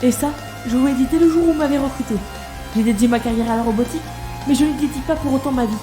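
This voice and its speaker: A woman's voice: high voice, very fast, music in the background, natural voice. An actress playing a brash, irreverent young woman engineer in an audio drama, blunt, slangy and sardonic. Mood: defiant.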